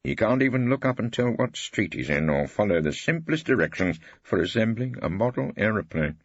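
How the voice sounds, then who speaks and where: A man's voice: fairly low pitch, very animated, fairly quick, breathy edge, no echo, voice pushed up, exaggerated voice. Shrewd old English barrister, played by a male reader: combative, pompous, crackly voice, dry and slightly dull audiobook sound. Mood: needling, rhetorical. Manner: rhetorical, insistent, pompous flourishes.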